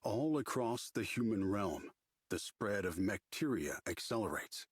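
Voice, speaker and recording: Solemn male game storyteller, raspy, expressive intonation, dry studio sound. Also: lowish voice, measured pace, no echo, heavily acted. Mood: ominous. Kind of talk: scripted game narration.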